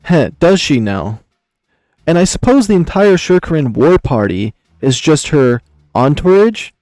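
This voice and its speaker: A man's voice: on the high side, very animated, a little slow, darkish tone, no echo. A man voicing a commanding, impatient beast emperor in a visual novel, brusque and dryly amused as he questions his men.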